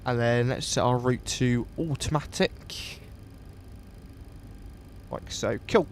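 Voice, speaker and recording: A sniffly man at an ordinary middle pitch, working through settings methodically and talking to himself as he goes. His voice has a slight stuffiness from a cold, over a faint low rumble behind him. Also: takes it slowly. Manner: methodical, thinks aloud step by step. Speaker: flight sim streamer. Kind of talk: casual live walkthrough of a flight simulator.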